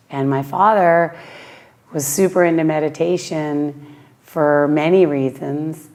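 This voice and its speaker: A woman from Western Massachusetts with a raspy voice, a yoga teacher speaking slowly and thoughtfully in a heartfelt personal interview. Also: low voice. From Western Massachusetts, USA.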